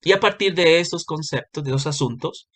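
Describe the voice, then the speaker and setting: A man giving a recorded lecture, explaining step by step with frequent pauses and self-corrections. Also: fairly high pitch, a bit bright. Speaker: university lecturer.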